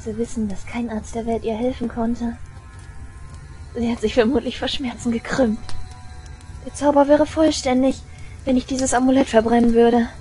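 A woman's voice: on the high side, steady tone, faint music behind, natural voice, low-quality recording. Woman acting an unhinged, obsessive shrine maiden girl. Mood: gloating, unhinged. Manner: intense, deliberate, swinging between hushed and frenzied. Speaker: voice actress. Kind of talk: dramatic audio drama monologue.

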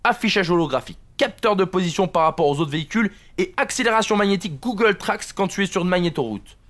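Geeky male sidekick, acted by a man, teasing and excitable, high-ish swooping voice. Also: fast talker. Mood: excited. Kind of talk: audio drama acting.

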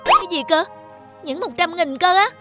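A woman's voice: very high voice, animated, normal speed, over loud music. A woman playing a pushy, scornful middle-aged female customer in a cartoon. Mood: indignant, scornful. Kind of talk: animated cartoon dialogue.

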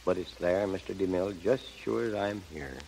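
A man's voice: sits low, brisk, creaky, a little mellow. An older man speaking in a folksy, plainspoken way as a guest in a scripted 1930s radio interview. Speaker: gold prospector guest. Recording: noisy, echoey 1930s radio broadcast.

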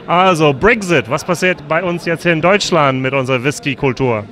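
A man's voice: fairly high pitch, moderate pace, bright, forceful tone. A man in his forties with an American accent and English as his first language, interviewing casually and jokingly into a handheld microphone. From United States. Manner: informal, joking, encouraging. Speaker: interviewer, whisky video host. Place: whisky fair stand.

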